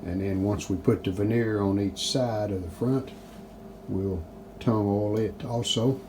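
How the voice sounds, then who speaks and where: A man giving casual, plainspoken, unhurried narration of hands-on workshop work, like a DIY video host. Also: on the low side, background noise. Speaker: host of a restoration channel.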